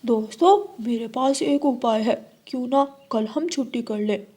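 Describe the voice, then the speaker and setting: A woman's voice: a little high, moderate pace, dark tone, breathy edge. A woman voicing a cheeky young schoolboy crow in a cartoon, whiny and eager in a childlike way. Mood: scheming.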